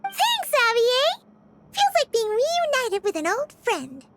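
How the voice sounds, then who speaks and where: A woman's voice: very high voice, wildly swooping pitch, slow pace, noisy background, full character voice. A woman voicing a tiny, bubbly, chatty childlike fairy companion in a video game. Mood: excited. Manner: bubbly, exclaiming, refers to self in third person.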